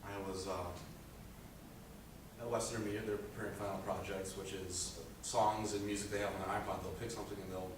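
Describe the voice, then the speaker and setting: A teenage high school boy with a low speaking voice gives an earnest, rambling presentation about his senior project, with many fillers. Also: little pitch movement, brisk. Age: teens. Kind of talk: presentation speech. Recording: echoey room, distant mic, background noise.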